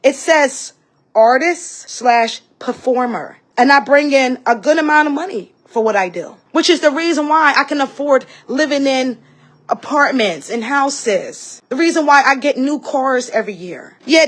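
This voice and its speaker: Heated woman ranting, fairly high voice. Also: fairly bright tone. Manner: emphatic and repetitive, acting out others' remarks. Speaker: vlogger telling a personal story. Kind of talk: heated, profane personal rant.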